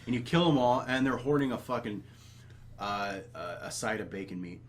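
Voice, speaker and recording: A man's voice: medium pitch, animated, moderate pace. A man chatting casually about games as a podcast host, complaining bluntly and sardonically with plenty of swearing. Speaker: video game podcast host.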